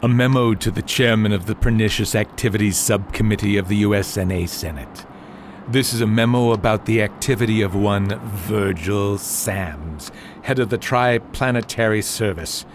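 A man's voice: fairly low pitch, moderate pace, a little hiss or hum, natural voice. An actor playing a pompous, power-hungry male senator in a radio drama, grandiose and blustering, as if always making a speech. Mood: startled, indignant. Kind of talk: old-fashioned radio drama acting.

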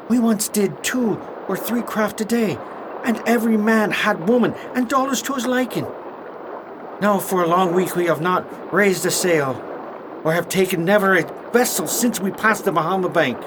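Man acting a mutinous pirate sailor, high male voice, grumbling, accusing, audio drama, noisy recording. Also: close to an everyday voice. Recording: noisy audio with steady rumble.

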